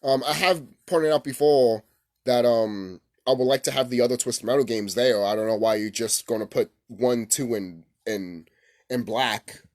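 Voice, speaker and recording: A man hosting a casual, unscripted gaming vlog, rambling excitably, repeating himself and swearing casually. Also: medium pitch, moderate pace. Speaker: gaming video host.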